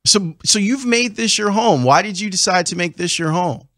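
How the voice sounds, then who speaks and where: A man's voice: high-pitched, moderate pace, fairly bright tone, dry recording. Middle-aged radio host guy, asks questions, dry close studio mic. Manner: curious, leading questions. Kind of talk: radio interview. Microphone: studio mic with headphones.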